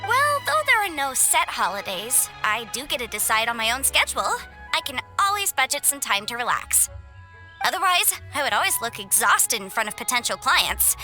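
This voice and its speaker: A young legal advisor who is playful and self-assured, always ready with a comeback. Her voice is fairly high, with game music underneath. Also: sing-song, moderate pace, pushed and bright, heavily acted, rough recording. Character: young legal advisor woman, lively and witty. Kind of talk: game cutscene voice acting.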